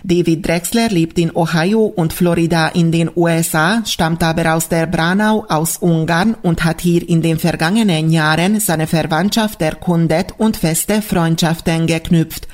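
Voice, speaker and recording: A woman presenter reading scripted radio announcements in a clear, formal, measured manner. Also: on the low side.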